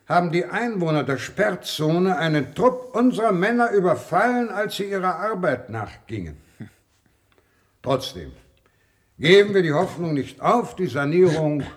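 An actor playing a formal official giving a measured, officious speech in a science-fiction radio play. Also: male voice, a little high. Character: formal male official addressing expedition staff.

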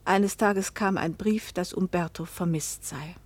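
A woman's voice: lowish voice, a little slow. An actress playing a poor fishing-town woman who recounts her hard life in a restrained literary radio play narration. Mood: sorrowful, restrained.

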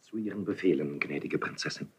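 An actor playing a sinister, servile court schemer in a hushed, insinuating 1970s German radio play style. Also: male voice, extremely low pitch, fairly quick, breathy edge, full character voice. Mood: deferential. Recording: dull, muffled vintage radio recording.

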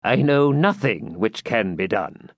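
A man's voice: fairly low pitch, expressive, slow pace, dry recording, studio quality, full character voice. A man voicing a shrewd, controlled counsellor to a medieval prince, speaking in formal archaic courtly language. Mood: businesslike.

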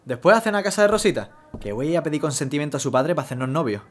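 A man's voice: on the high side, animated, very fast. An actor playing an eager, lovestruck young man in an audio drama, speaking earnestly. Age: young adult.

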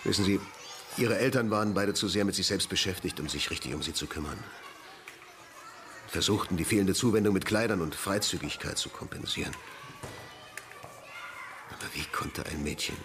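An actor playing a defensive, rueful middle-aged headmaster in a radio crime drama, speaking haltingly. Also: male voice, sits low, wildly swooping pitch, a bit bright, a little hiss or hum, exaggerated voice. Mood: rueful, agitated. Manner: halting, agitated, confessional. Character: defensive, rueful ageing male school headmaster having confessed an affair.

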